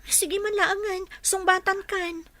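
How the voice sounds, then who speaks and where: A woman's voice: very high voice, steady tone, takes it slowly, pushed and bright, no room sound, full character voice. A woman with an Ilocano accent playing a bashful, teasing office clerk in a radio comedy drama. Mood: coy, teasing.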